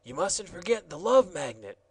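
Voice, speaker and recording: A man's voice: high voice, very animated, normal speed, slightly rough, voice pushed up, heavily acted. A man reading a storybook aloud, voicing a rambling, easygoing wandering man in a chatty, offhand way. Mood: confident. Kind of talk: audiobook character voice.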